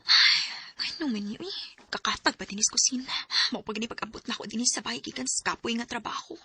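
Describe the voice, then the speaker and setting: An actress with a Cebuano Filipino accent playing a dutiful, hurt young wife in a Bisaya radio drama. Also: female voice, on the high side, quick pace, sharp tone. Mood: weary, complaining.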